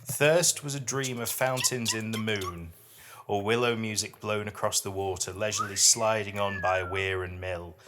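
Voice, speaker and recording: A man's voice: on the low side, steady tone, normal speed, music in the background. A middle-aged British man hosting a podcast, chatting casually with a wry, self-deprecating touch and reading poetry dramatically. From United Kingdom. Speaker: podcast host and dad.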